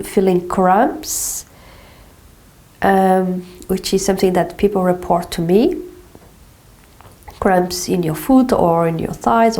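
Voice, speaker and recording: A woman with a blocked nose from a cold, calmly guiding a movement exercise step by step. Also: mid-range voice. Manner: slow, calm step-by-step guidance with frequent "okay". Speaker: movement teacher. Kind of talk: guided exercise instruction.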